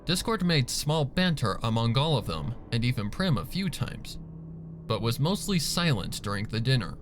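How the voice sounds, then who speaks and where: Lively male story narrator over music. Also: medium pitch, normal speed. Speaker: fan fiction narrator. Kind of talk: dramatic scripted story narration. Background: background music.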